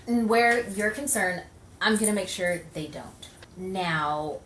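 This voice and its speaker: A woman's voice: medium pitch, slow, a bit bright. A woman playing a persistent, coaxing newspaper reporter in an improvised noir radio play. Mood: persuasive, firm. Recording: roomy, distant mic with faint noise.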